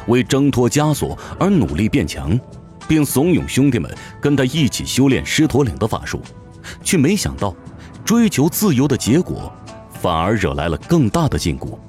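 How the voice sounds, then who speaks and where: A man's voice: on the low side, a little mellow. A man narrating a fantasy audio drama from a script in a theatrical, suspenseful manner.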